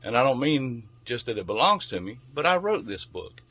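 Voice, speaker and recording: A man's voice: medium pitch, sing-song, moderate pace, bright, forceful tone, breathy edge. A man hosting a children's bedtime story show, speaking directly to young listeners as a storyteller. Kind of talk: friendly introduction, then read-aloud children's storytelling.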